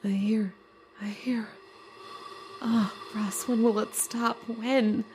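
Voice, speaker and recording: Actress as an anxious, high-voiced wife. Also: female voice, fairly even intonation, slow pace, a little mellow, slight background noise. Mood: frightened, pleading. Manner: breathless, pleading, repetitive. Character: anxious, soothing wife frightened by the uncanny. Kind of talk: old-time radio horror acting.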